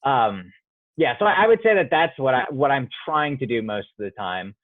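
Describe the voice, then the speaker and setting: A man's voice: mid-range voice, expressive, normal speed, dry recording. A male playwright answering interview questions thoughtfully, often restarting as he searches for words, heard over a video call. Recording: dry tinny phone-like audio.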